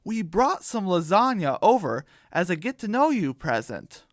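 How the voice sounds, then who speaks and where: Performer as a friendly cartoon chicken, sensible, polite, lively intonation, radio play. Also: medium pitch, moderate pace, no room sound, studio quality, natural voice.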